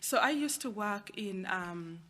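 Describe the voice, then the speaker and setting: A woman's voice: mid-range voice, lively intonation, measured pace, a bit bright, a little hiss or hum. A middle-aged woman with a Ugandan accent, explaining her work as an interview guest. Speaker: guest, anti-trafficking worker.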